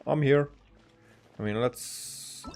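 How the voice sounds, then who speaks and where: A man giving casual, rambling game commentary as a streamer, speaking haltingly and often repeating and restarting his phrases. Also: mid-range voice, darkish tone. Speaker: livestreamer playing a game.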